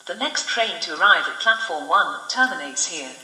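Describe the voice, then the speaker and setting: A woman's voice: fairly low pitch, lively intonation, normal speed, loud music behind the voice. A woman making formal, scripted railway platform announcements in clipped phrases, played back from a distance with echo. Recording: echoey playback, distant from mic. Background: played back through computer speakers.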